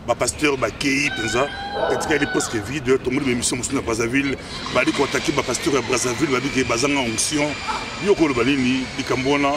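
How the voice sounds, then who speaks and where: A man with a Congolese French accent, interviewing a pastor with hesitant questions full of fillers and Lingala words. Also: mid-range voice. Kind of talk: halting questions. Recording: distant mic with loud traffic noise outdoors.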